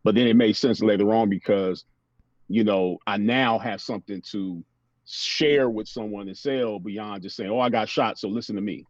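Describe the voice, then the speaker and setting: Deep-voiced American man, philosophical and casual. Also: moderate pace, no echo, highs cut off. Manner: philosophical, frequently checking with "you know". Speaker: motivational speaker and interview guest. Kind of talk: casual reflective interview talk.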